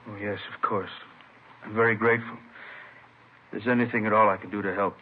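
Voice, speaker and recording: A man acting a polite, bewildered professor and worried husband, speaking haltingly and earnestly in old radio drama style. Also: lowish voice, level tone, natural voice. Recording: tinny, noisy vintage radio recording.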